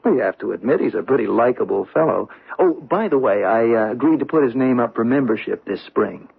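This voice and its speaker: An actor playing a genial middle-aged husband in a radio drama, stammering and breezy, often chuckling. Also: male voice, mid-range voice, expressive, fairly quick, creaky, slightly rough, heavily acted. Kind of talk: 1970s radio drama acting. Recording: tinny old radio broadcast.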